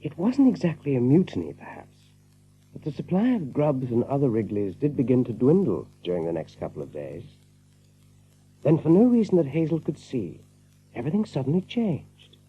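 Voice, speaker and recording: A man with a husky voice, playing a thoughtful, knowing rabbit storyteller in a dramatized audiobook. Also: mid-range voice, sing-song, normal speed, highs cut off. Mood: narrating.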